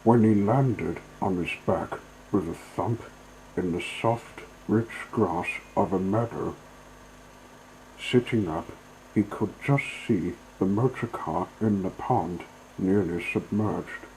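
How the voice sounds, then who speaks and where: Male storyteller, scripted storybook narration, lowish pitch, very slow pace. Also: a little mellow, slight background noise, low-quality recording.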